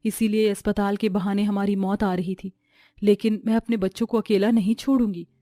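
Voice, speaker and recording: A woman's voice: medium pitch, fairly even intonation, brisk, warm tone, no room sound, studio quality, natural voice. A woman voicing a cartoon ghost of a devoted mother, grieving and pleading. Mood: grieving, resolute. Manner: pleading, sorrowful.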